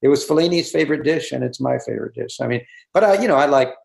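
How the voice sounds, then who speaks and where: A man, a guest director on a video call, talking casually, halting and repeating words with many fillers. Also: medium pitch, lively intonation, vocal fry, darkish tone, roomy sound.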